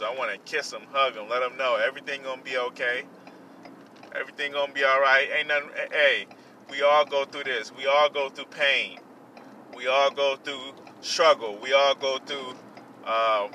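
A young man in his twenties delivers a heartfelt podcast monologue, circling back on his own words and leaning on fillers. His voice has a very bright, thin edge. He sounds a little off-mic, outdoors, with faint ambient noise behind him. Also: medium pitch, far from the mic, rough recording. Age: 20s. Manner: earnest, repetitive, self-reflective, with many fillers. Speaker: podcast host. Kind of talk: personal motivational monologue.

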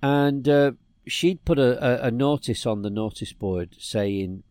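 A middle-aged man from England chats on a podcast and spins a meandering story full of restarts. His voice is warm and mellow. The sound is dry and close. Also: medium pitch, a little slow, no room sound. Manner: rambling storyteller, many restarts. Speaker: podcast co-host. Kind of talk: casual chat.

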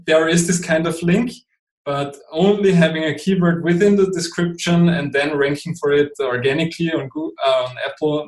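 German-accented man explaining in a roomy space. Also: on the high side. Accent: Austrian German. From Austria. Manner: hesitant, many fillers and restarts. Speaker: guest expert. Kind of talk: podcast interview.

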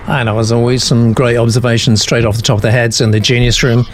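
A man's voice: medium pitch, level tone, quick pace, slight background noise. A man hosting a panel discussion of a writing sample, chatty and affirming, reading out viewer comments. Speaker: host of a writing panel show.

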